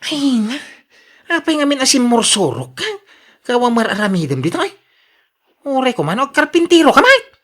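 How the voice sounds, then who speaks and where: A woman's voice: high-pitched, sing-song, moderate pace, pauses often, fairly bright tone, exaggerated voice. A woman with an Ilocano accent acting as an exasperated, protesting spouse in a radio drama, querulous and exclamatory.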